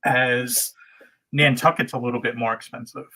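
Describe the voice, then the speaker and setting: A man's voice: medium pitch, flat delivery, normal speed, vocal fry, sharp tone, rough recording. A man from the United States, a guest on a casual livestream, explaining things in a meandering way with many restarts. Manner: meandering, full of restarts and hedges.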